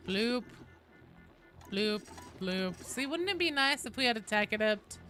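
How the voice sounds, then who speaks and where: A woman's voice: fairly high pitch, animated, a little slow, pushed and bright. Woman streamer, casual and goofy, swears freely, close mic with prominent music underneath. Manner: playful, foul-mouthed, goofy asides. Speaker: video game streamer. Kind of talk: casual live gameplay commentary.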